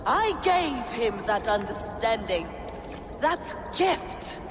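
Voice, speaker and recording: High-voiced fanatical female zealot. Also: sing-song, takes it slowly, background noise. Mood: grim triumph. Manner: fervent, theatrical, preaching. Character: fanatical woman zealot, exultant captor preaching hidden divinity. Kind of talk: dramatic video game acting. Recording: loud ambience behind the voice.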